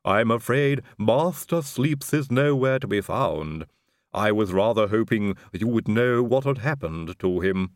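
A man voicing a calm, stitched-together male manservant who speaks slowly and oddly politely. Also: lowish voice, no room sound, clean studio sound. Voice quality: slow, unhurried, oddly polite. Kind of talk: audiobook character voice.

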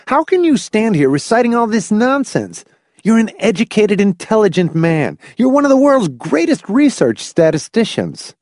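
A man's voice: on the high side, sing-song, normal speed, vocal fry, a little mellow, no room sound, studio quality, voice pushed up, full character voice. A man voicing an exasperated, cynical male research statistician in an audiobook, with an emphatic delivery. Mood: exasperated roaring. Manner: emphatic, swinging from outburst to weary mutter.

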